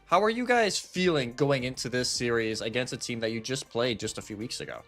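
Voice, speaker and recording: Male esports desk analyst, medium pitch, casual breakdowns and questions over soft music. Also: lively intonation, fairly quick. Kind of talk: casual match analysis and interviewing.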